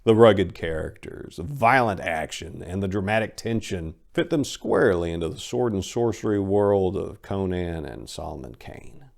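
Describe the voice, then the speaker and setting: A man narrating a story in a dramatic, theatrical way, with deliberate phrasing and emphatic swells. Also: low voice, lively intonation, vocal fry. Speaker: podcast host and narrator.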